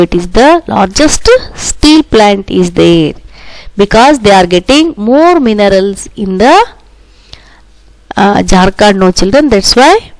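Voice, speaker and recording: Woman teacher, South Indian accent, native Kannada speaker. Unhurried, repetitive classroom delivery for children, mid-pitched voice, dry sound. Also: dry recording, rough recording. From Karnataka, India. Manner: repetitive, instructive, often addressing listeners directly with tag questions. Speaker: primary school social studies teacher. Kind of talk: school lesson for children.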